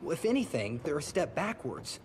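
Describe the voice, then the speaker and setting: A man's voice: on the high side, very animated, normal speed, noisy background. A man playing a pompous, erudite monster-hunting scholar in a video game, wordy and theatrical. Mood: lecturing.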